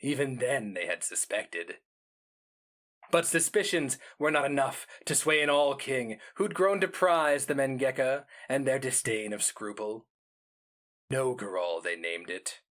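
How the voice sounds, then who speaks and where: A man giving a dramatic literary reading of fantasy, solemn and measured, with deliberate pauses and theatrical weight. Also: mid-range voice, expressive, fairly bright tone. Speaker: story narrator.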